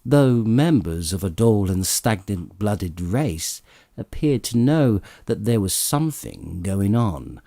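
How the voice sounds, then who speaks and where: Expressive male storyteller, low and dark-toned. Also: no room sound, studio quality. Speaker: audiobook narrator. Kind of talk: expressive literary storybook narration.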